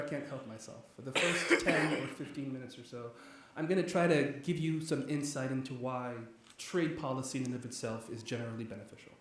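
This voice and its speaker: Man from Nigeria, economics lecturer, mid-pitched, chatty and animated, booming hall echo, faint hiss. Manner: energetic, talkative, with frequent fillers and restarts. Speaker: economics professor giving a guest lecture. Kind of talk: public lecture. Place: large echoey church hall. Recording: very echoey hall, faint background noise.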